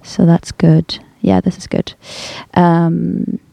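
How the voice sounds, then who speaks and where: A woman's voice: fairly low pitch, flat delivery, slow pace, creaky, warm tone, no room sound. A woman from Sweden chatting informally in a studio, hesitant and thinking aloud as she goes. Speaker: guest singer. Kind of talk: casual studio chat.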